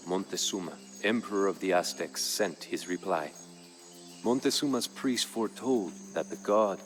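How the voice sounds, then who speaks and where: A man voicing a grave Aztec jaguar warrior of royal blood, recounting events in measured, solemn game narration. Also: low-pitched, natural voice. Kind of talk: scripted dramatic narration for a game. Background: music.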